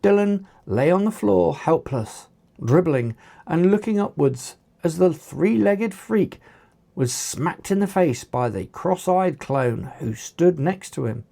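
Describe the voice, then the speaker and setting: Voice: medium pitch, lively intonation, takes it slowly, gravelly, mellow. A narrator reading an action story aloud in a dramatic storytelling manner. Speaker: author reading own book.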